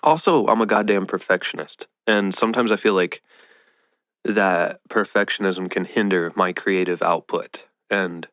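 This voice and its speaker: A man with a low-pitched voice chats casually as a solo podcast host. He sounds nasal and stuffed up with a head cold, talking informally in a very dry, close recording. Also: normal speed, fairly bright tone, no echo. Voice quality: nasal, congested.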